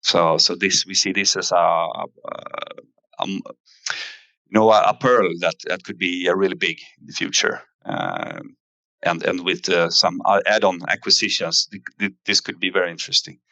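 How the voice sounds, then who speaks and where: A man giving a company investor presentation in a halting way, pausing often with fillers. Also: sits low, animated, creaky, pushed and bright, dry recording. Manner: halting, hesitant delivery with frequent fillers. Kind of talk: investor earnings presentation, semi-scripted.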